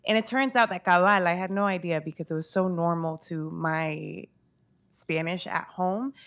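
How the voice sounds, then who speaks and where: A woman's voice: fairly low pitch, normal speed, a bit bright. A woman from Northern Virginia with an American accent, moderating a book event in casual, anecdotal conversation. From Northern Virginia, United States. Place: bookstore event before an audience.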